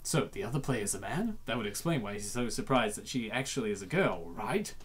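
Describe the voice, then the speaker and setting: A host reacting to and reading a comic aloud in an excitable, dramatic way, often repeating phrases. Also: mid-range voice, fairly quick, slightly rough. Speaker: host of comic dub show.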